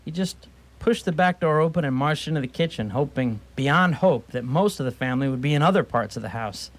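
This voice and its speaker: A man's voice: on the high side, animated, a little fast, low-quality recording. A man narrating a scripted comic radio story in a wry, mock-formal way.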